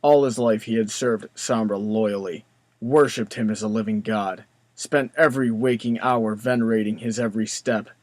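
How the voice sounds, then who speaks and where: A man's voice: fairly low pitch, steady tone, normal speed, no echo. A man reading scripted story narration as the narrator.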